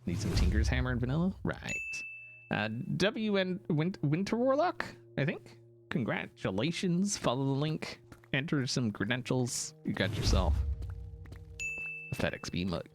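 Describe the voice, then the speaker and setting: A man hosting a game live stream, chatting casually and thanking viewers, with a gravelly morning voice. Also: medium pitch, wildly swooping pitch, music in the background. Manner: playful, jokey, thanks donors rapidly. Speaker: livestream host.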